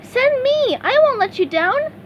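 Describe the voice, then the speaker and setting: A woman voicing an eager, cheerful young male sea sponge in a storybook reading, high and excitable. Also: a little slow, muffled recording, a little hiss or hum, exaggerated voice.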